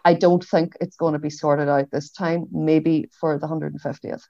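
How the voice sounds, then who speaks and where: A woman with a Derry, Northern Irish accent speaking as a historian on a panel, in a reflective, measured and hesitant way. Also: sits low, flat delivery, mellow, no room sound. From Derry, Northern Ireland. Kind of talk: panel discussion. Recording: dull, lo-fi online audio.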